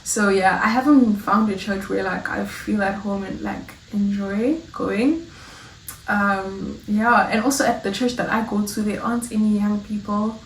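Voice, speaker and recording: A woman's voice: mid-range voice, unhurried, roomy sound, far from the mic, slight background noise, low-quality recording. A woman in her twenties from South Africa, chatting casually to her viewers in a rambling, laughing way. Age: 20s. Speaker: vlogger. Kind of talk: casual chat to camera.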